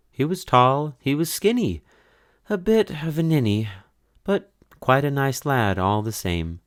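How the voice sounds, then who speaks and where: A man reading a children's story in verse aloud, with an expressive, measured, sing-song delivery. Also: low-pitched, no room sound, studio quality. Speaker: storybook reader.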